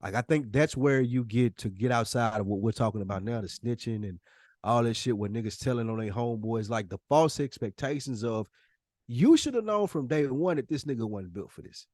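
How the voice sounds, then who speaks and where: Male co-host, mid-range pitch, frank and informal, slangy delivery, close dry sound. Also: lively intonation, brisk, a little mellow, no room sound. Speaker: podcast co-host. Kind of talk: casual, slangy conversation.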